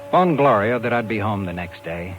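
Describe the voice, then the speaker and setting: Man playing an animated, determined American talent agent. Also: on the low side, normal speed, lo-fi sound, over loud music, close to an everyday voice. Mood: hard-boiled narration. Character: determined, brisk New York talent agent man, narrator. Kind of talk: 1950s radio drama acting and narration. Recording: hissy old radio recording.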